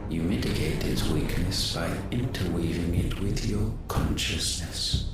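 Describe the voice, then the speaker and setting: An actor playing an ancient, imperious godlike being in a fantasy game, with solemn, measured, detached pronouncements that scorn humankind. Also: male voice, low-pitched. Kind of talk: cinematic fantasy game acting. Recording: cavernous echo, distant, loud ambient noise.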